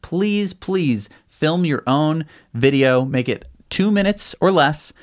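Animated male co-host, lively pitch, eager and casual. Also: slow. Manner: eager, animated, urging viewers. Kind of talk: casual podcast chat.

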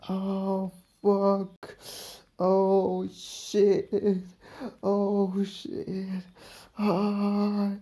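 An adult woman performing erotic audio, with a soft, mellow tone. Her delivery is very slow and stays within a narrow pitch band, moving between moans and breathy whispering. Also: monotone.

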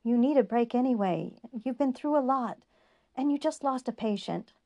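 A woman voicing a female counselor who speaks softly and slowly but firmly. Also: fairly high pitch, animated, pitched above the performer's own voice. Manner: soft, measured, firm.

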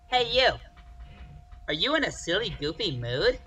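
A performer playing a pushy, playful campus club promoter, delivering a comic pitch in an audio drama. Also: very animated, measured pace, bright, forceful tone. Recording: distant from the mic.

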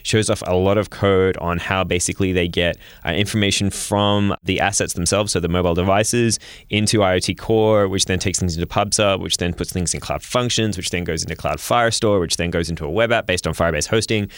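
Guy cohosting a tech podcast, lowish voice, eager and chatty, dry close sound. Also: steady tone, fairly quick, clear, resonant tone, no room sound. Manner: enthusiastic, rattles off product names, laughs at himself. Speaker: podcast co-host. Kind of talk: casual podcast chat.

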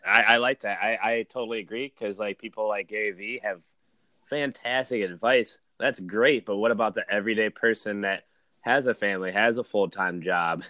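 A man's voice: lowish voice, fairly even intonation, normal speed, bright, forceful tone, no room sound. A man in his early thirties talking as a podcast guest, casual and slangy, with lots of "like", "man" and "dude". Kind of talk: casual chat, personal storytelling.

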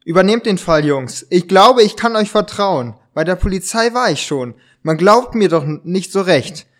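A man playing a polite, agitated writer in an amateur German detective radio play, haltingly stumbling over his lines. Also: high-pitched, lively intonation. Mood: earnest.

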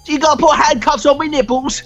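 A man's voice: extremely high pitch, fairly even intonation, brisk, a bit bright. A man voicing an eccentric old man who confesses to murder with cheeky glee, in an improvised radio drama parody. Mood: pleading. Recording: dull lo-fi sound, music behind.

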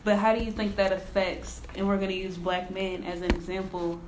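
An animated woman who keeps the conversation moving with questions, speaking at a middle pitch. Her voice rings off the walls of a roomy space, with faint noise behind. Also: a little fast. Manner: animated, steers the talk with questions. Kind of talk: casual discussion. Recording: echoey room with faint noise.

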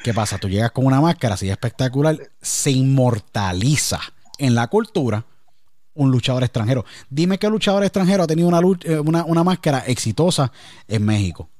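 A man's voice: mid-range voice, animated, fairly quick, a little mellow, no echo, studio quality. A man with a Puerto Rican accent, talking at length in a casual interview and often checking that the listener follows him. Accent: Puerto Rican Spanish. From Puerto Rico. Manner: talkative, emphatic, repeats "me entiendes". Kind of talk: casual conversation.

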